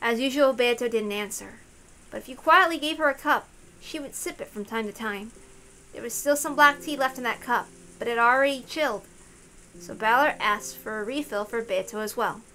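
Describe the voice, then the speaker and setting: A woman's voice: a little high, moderate pace, sharp tone, a little hiss or hum, low-quality recording. A woman reading a visual novel aloud as a narrator, in a storytelling style. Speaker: reader of a visual novel for an audience.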